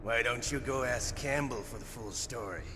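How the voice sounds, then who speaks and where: A man's voice: mid-range voice, moderate pace, lightly acted. An actor playing a sly, sadistic male interrogator in a video game, mocking and relishing his menace. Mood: mocking, probing. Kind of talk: dramatic video game voice acting. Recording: long hall-like reverb. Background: music.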